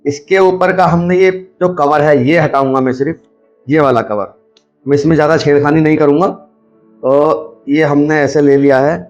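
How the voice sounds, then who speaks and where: Higher-voiced man explaining, music behind. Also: fairly even intonation, moderate pace, dull audio. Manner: instructional, repeats points step by step. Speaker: video host, scrap recycler. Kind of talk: hands-on demonstration with running explanation.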